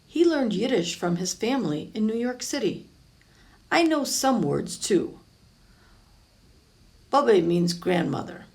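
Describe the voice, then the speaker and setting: A woman's voice: mid-range voice, creaky, darkish tone. A woman reads a children's picture book aloud expressively, adding small explanatory asides. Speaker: storybook reader, teacher.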